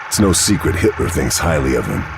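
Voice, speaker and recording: An actor playing a grim Second World War sniper agent, narrating missions in a terse, grave way. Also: male voice, a bass voice, big pitch swings, brisk, gravelly, background noise, full character voice. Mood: grave, determined. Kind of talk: scripted cinematic mission briefing narration.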